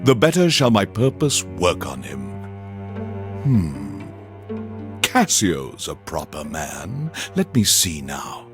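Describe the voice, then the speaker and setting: A male alien overlord hosting video game radio with immense self-importance. He declaims like a Shakespearean stage villain, slowly and precisely. His pitch sweeps widely through each grand, cultured phrase. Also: wildly swooping pitch, soft background music, exaggerated voice. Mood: scheming, sinister. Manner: pompous, grandiose, deliberate enunciation. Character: pompous, theatrical alien overlord radio host, male, erudite and menacing. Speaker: radio DJ host. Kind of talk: theatrical Shakespearean recitation and radio presenting.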